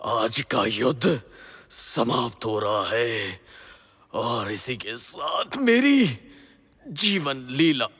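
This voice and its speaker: Dying, husky warrior father, wildly swooping. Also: mid-range voice, sing-song, very slow, a bit bright, full character voice. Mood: failing, resigned. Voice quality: husky, strained. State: weak, labored, dying. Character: dying noble warrior king, loving father. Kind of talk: Hindi mythological audio drama. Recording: long hall-like reverb.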